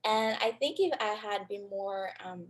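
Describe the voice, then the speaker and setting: A woman's voice: mid-range voice, a little slow. Chatty woman on a panel call. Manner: chatty, with fillers and self-repeats. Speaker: data scientist, panelist. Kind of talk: casual panel reflection.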